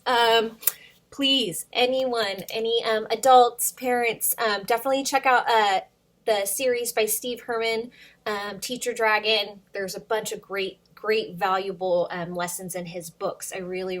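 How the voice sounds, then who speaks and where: A woman hosting storytime for young children, reading aloud expressively with sing-song emphasis and addressing questions to young listeners. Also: fairly high pitch.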